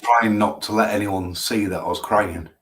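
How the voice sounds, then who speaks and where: A man co-hosting a livestream on a video call, hesitant, with lots of fillers and soft laughs. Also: on the low side, moderate pace, slight background noise. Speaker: livestream co-host. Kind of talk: casual reminiscing chat.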